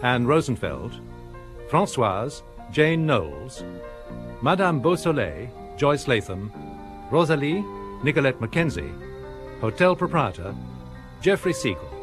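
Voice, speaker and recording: A man's voice: medium pitch, big pitch swings. Formal male radio announcer over music. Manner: formal, measured. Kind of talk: scripted credits announcement.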